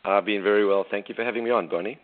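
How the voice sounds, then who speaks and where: A middle-aged South African man speaks with a South African accent in a low, deep voice, halting and restarting his sentences. He is calling in over a tinny phone line with a thin, narrow sound. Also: steady tone. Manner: halting, many restarts and repeated words. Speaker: author and investment coach. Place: calling from home in Australia. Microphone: telephone.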